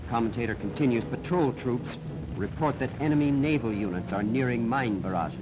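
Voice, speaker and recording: A man reading measured, explanatory scripted commentary over a 1940s archive newsreel soundtrack. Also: mid-range voice, very noisy. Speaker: translator and analyst narrator.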